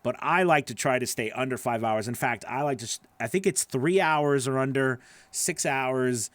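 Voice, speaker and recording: A man's voice: medium pitch, moderate pace, a bit bright, no room sound. Emphatic man coaching in a dry studio. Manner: emphatic, encouraging, repeats phrases for stress. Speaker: host, voice-over coach. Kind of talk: casual livestream advice, answering viewer questions. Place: small home studio, indoors. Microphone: close studio microphone on boom arm.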